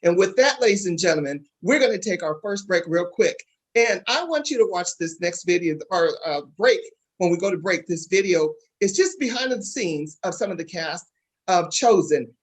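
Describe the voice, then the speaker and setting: A talk show host with a husky voice, addressing guests and viewers in an emphatic, encouraging way that repeats phrases for stress. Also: mid-range voice.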